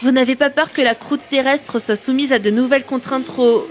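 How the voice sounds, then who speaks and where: A woman's voice: high-pitched, fairly even intonation, fairly quick, close to an everyday voice. A woman playing an inquisitive, skeptical journalist in a comedic sci-fi radio play, probing and incredulous. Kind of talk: comedic radio science-fiction acting.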